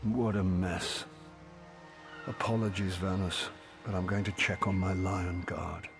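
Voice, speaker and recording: A haughty low-voiced fantasy king. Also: level tone, vocal fry, music in the background. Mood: weary. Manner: haughty, regal, measured. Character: proud, suspicious, disdainful male human king. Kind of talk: fantasy game dialogue acting.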